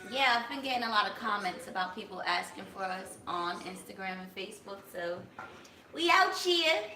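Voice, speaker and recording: A woman's voice: medium pitch, animated, normal speed, bright, forceful tone, roomy sound, recorded from a distance, slight background noise, poor audio. A woman co-hosting a casual talk show, blunt and outspoken, cursing freely. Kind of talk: casual chat.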